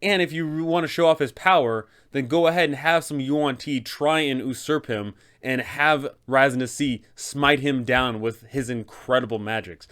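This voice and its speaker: A man with a medium-pitched voice presents advice for tabletop game masters. He is casual but enthusiastic, leaning on key words as he explains. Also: a bit bright, clear, resonant tone.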